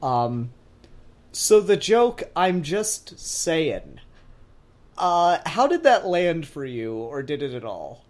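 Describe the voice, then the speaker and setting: A man's voice: on the high side, very animated, takes it slowly, a little mellow. A man hosting a casual fan review discussion, talking in an enthusiastic, opinionated, analytical way. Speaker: podcast host.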